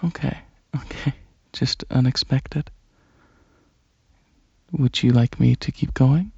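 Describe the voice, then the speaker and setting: A soft-spoken ASMR performer playing a gentle, shy, caring alien dragon, talking hesitantly in a breathy half-whisper. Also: medium pitch, takes it slowly, no echo, dull audio.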